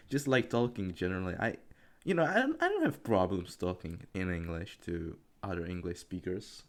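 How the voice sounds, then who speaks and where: A man's voice: sits low, big pitch swings, normal speed. An eighteen-year-old man, rambling unscripted over gameplay in a self-deprecating, swearing, laugh-filled way. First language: Czech. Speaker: gaming commentary YouTuber.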